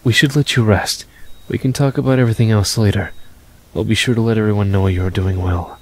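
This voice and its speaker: An actor playing an affectionate, protective older-brotherly or fatherly boss in an audio story, with a gravelly, husky voice. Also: on the low side, steady tone, a little fast, a little mellow. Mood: kindly, firm. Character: fatherly, affectionate male chief, protective and teasing.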